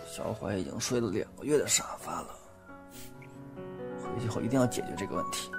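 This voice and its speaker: A man acting a flustered young guy, creaky voice. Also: lowish voice, animated, fairly quick. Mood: brooding, self-justifying. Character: flustered, excitable young man confused about his feelings. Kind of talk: Mandarin audio drama acting. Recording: background music under the voice.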